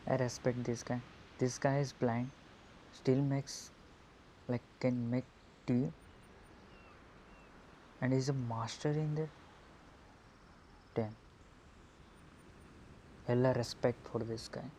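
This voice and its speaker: A man's voice: mid-range voice, fairly even intonation, takes it slowly, long pauses, mellow, slight background noise. A man giving casual, hesitant gameplay commentary as a streamer, his voice murky through an earphone mic. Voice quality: murky, muffled. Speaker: gaming streamer. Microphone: earphone mic on a phone.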